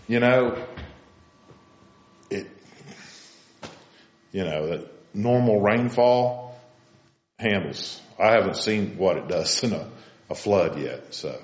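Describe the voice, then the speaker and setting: Builder, low voice, plain-spoken, lo-fi hall recording. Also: male voice. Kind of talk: explaining work at a public hearing. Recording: roomy, dull meeting-hall recording.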